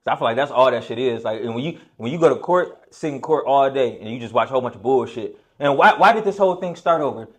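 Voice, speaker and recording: Fast-talking young man telling stories. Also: medium pitch. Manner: fast, animated storytelling with slang and profanity. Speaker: podcast host. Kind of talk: casual podcast chat.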